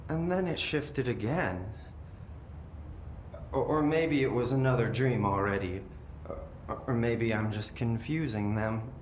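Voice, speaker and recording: Man acting as slow, eerily calm possessed brother. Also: close to an everyday voice. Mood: eerily serene. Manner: slow, detached, deliberate storytelling with lingering pauses. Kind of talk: dramatic video game acting. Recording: echoey room, noticeable low rumbling noise.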